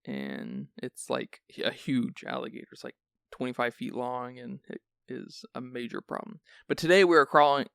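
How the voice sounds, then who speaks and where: Gravelly, sleepy-sounding man hosting. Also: mid-range voice, normal speed, a bit bright, dry recording, clean studio sound. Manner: chatty, rambling with restarts. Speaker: podcast host. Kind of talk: casual film discussion.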